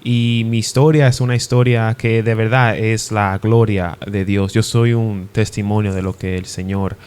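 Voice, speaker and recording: A man's voice: on the low side, flat delivery, normal speed, darkish tone, clear, resonant tone. A young man from the Dominican Republic, a guest preacher giving his testimony in an interview, earnest and hesitant with restarts. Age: young adult.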